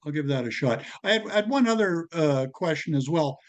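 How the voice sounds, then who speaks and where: A man on a group call, casually asking for advice in a tentative, halting way. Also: a little high, expressive. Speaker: club member asking for advice. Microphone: conference call.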